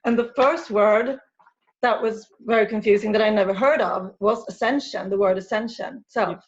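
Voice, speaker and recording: A woman whose first language is Swedish, hosting an interview and asking questions in a soft, hesitant way. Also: mid-range voice, moderate pace. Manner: soft-spoken, hesitant, frames questions through her own experience. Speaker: interview host. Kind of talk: casual interview. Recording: dull lo-fi sound.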